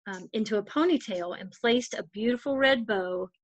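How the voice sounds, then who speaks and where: A woman's voice: on the high side, normal speed, dry recording, rough recording. A woman narrating a children's storybook as a volunteer storytime reader.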